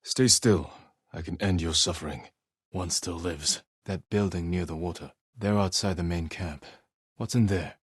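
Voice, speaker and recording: Solemn samurai, deep husky voice, measured, clipped phrases, resolute, game dialogue. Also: male voice, no room sound, studio quality. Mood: somber, gentle. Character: solemn, resolute male samurai warrior fighting invaders. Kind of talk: dramatic video game character dialogue.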